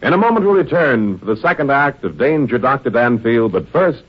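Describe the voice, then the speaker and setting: A man's voice: mid-range voice, big pitch swings, fairly quick, slightly rough. A man announcing in an old-time radio style. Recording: muffled old radio, loud music behind.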